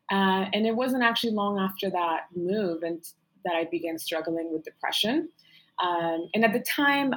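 A woman in her thirties from Morocco, English not her first language, tells her story in a low, thoughtful voice. She pauses often, restarts sentences and reaches for fillers as she talks it through. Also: rough recording. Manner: reflective, frequent fillers and restarts. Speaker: author guest. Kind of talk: conversational interview answer.

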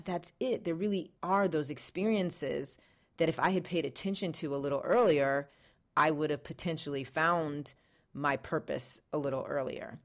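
A woman in her thirties, the podcast host, introducing an episode in a chatty, reflective way. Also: low-pitched. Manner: chatty, reflective, lots of "you know".

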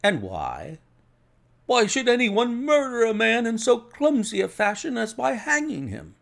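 A man voicing a brisk, hearty, sceptical police inspector in an audiobook, emphatic and plain-spoken. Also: high voice, very animated, creaky, a bit bright, pitched above the performer's own voice, exaggerated voice. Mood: sceptical, incredulous.

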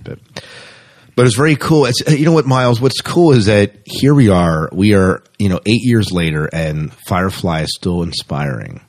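A man co-hosting a podcast, chatting casually and reading out news informally with offhand asides. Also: deep voice. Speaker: podcast co-host.

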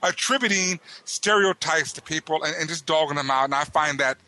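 A man's voice: on the high side, a little fast, bright, forceful tone, slight background noise. A man with a Southern US accent, co-hosting a podcast and ranting indignantly with emphatic repetition. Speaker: podcast co-host. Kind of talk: heated podcast rant.

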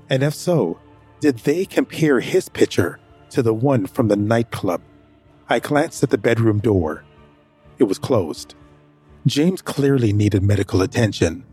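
A man's voice: medium pitch, darkish tone, husky. An actor narrating as a nervous man hiding his sick brother, hesitant and anxious in his dialogue. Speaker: first-person narrator. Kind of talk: audio drama narration.

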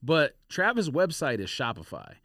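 A man's voice: fairly high pitch, wildly swooping pitch, moderate pace, a bit bright, dry recording, clean studio sound. A man in casual podcast conversation, talking his reasoning through in an everyday way.

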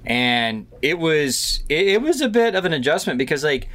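A man hosting a casual interview, eager and rambling with quick restarts. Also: on the high side, big pitch swings, fairly bright tone. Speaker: host.

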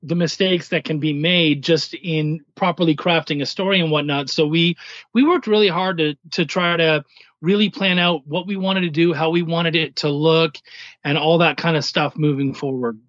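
A man's voice: a little high, steady tone, normal speed, fairly bright tone, no echo. A man speaking casually as a writer and editor being interviewed on a podcast, in slightly dull, lo-fi sound.

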